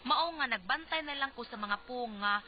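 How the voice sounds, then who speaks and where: A woman acting an exasperated, teasing wife in a radio comedy drama, with a Cebuano accent and an animated, nagging manner. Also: on the high side, moderate pace, close to an everyday voice. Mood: weary, confiding. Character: teasing, exasperated wife of a touchy husband, narrating her story. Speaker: radio drama actress and narrator. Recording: dull lo-fi radio, faint music.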